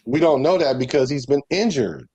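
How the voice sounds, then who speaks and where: A man's voice: mid-range voice, lively intonation, normal speed, dark tone, husky, no echo. A man from the Bay Area arguing about sports in a casual, emphatic, rhythmic way, full of slang and repeating himself. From San Francisco Bay Area. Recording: dull lo-fi sound.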